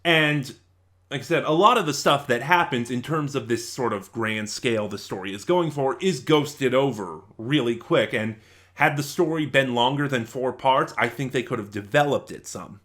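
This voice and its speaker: A man giving casual review commentary as an online reviewer, in a halting way, often rephrasing mid-sentence. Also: mid-range voice, lively intonation, a bit bright.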